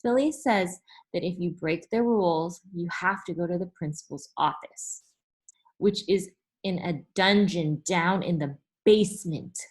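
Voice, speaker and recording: A woman reading a children's story aloud as an expressive narrator. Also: lowish voice, a little slow.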